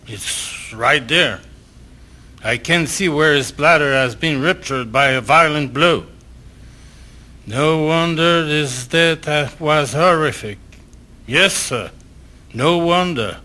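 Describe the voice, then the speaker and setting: An actor playing a blunt, grimly amused 19th-century surgeon in a radio drama, with a gruff, wry manner. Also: male voice, fairly high pitch, slow pace, long pauses, sharp tone.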